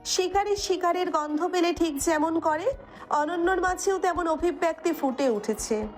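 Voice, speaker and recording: A woman's voice: very high voice, measured pace, faint music behind. A woman reading scripted dramatic narration as the storyteller of an audio story.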